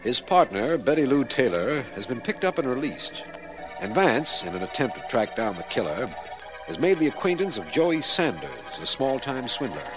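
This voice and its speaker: A man playing a brisk, businesslike district attorney in a 1950s radio drama, formal in both narration and dialogue. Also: mid-range voice, very animated, over music, natural voice, rough recording. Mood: formal, narrating.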